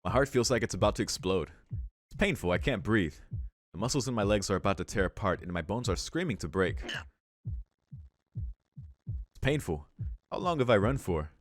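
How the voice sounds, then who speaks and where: Low-voiced man reading aloud, dry sound. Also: expressive, normal speed, long pauses, dry recording. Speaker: let's play host. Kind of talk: reading visual novel narration aloud with casual asides.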